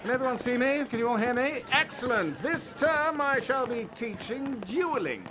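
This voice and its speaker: A man's voice: very high voice, expressive, normal speed, noisy background. A man playing a vain, showy wizard professor in a video game, with an English accent and a pompous, theatrical delivery. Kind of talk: video game cutscene acting.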